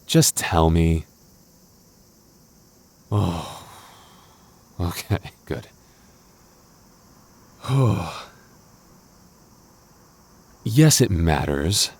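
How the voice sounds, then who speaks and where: A man's voice: deep voice, expressive, very slow, pauses often, darkish tone, full character voice. A man playing a jealous, clingy, teasing boyfriend in an intimate roleplay audio, stammering and playfully defensive. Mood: flustered, insecure.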